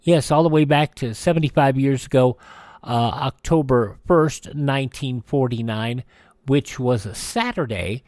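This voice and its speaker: A man's voice: mid-range voice, unhurried, darkish tone, no room sound, studio quality. A man hosting a radio show in a casual, rambling, folksy way.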